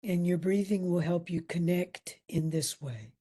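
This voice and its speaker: Woman doing guided meditation, really slow and gentle, soft breathy voice, kinda low and mellow. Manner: gentle, soothing, with long pauses. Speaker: meditation instructor.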